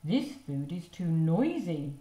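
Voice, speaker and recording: A fussy, curious male storybook creature, voiced by a woman reader speaks very slowly and deliberately. The voice moves expressively in a roomy, echoing space. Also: sits low, big pitch swings, dark tone, full character voice. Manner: deliberate, repetitive pronouncements. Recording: roomy echo.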